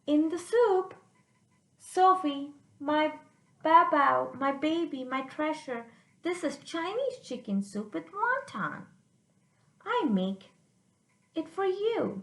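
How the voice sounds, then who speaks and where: A woman's voice: high-pitched, vocal fry, fairly bright tone, exaggerated voice. A woman voicing a loving, firm Chinese grandmother in a children's storybook read-aloud, coaxing and emphatic, in a roomy recording.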